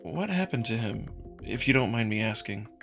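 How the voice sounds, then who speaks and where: Curious, frank young man for a visual novel, male reader: everyday register, bright and tense tone over music. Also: fairly low pitch, animated, normal speed, gravelly. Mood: hesitant, gentle. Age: young adult.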